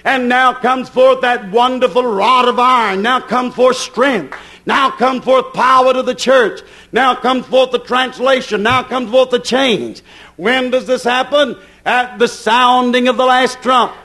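A man's voice: extremely high pitch, a little slow, bright, forceful tone. A man preaching a fervent apocalyptic sermon, emphatic and repetitive, often asking questions and answering them himself. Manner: emphatic, repetitive, incantatory phrasing.

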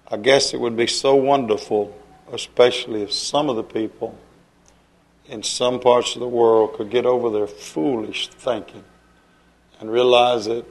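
A man giving an informal sermon in plain, folksy speech, slowing down to list things one by one. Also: mid-range voice, rough recording. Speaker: preacher.

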